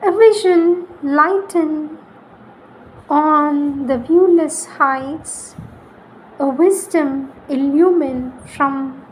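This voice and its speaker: A woman reads spiritual poetry in a solemn, devotional style, deliberately, pausing between short phrases. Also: high-pitched, darkish tone, a little hiss or hum, poor audio. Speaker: reader.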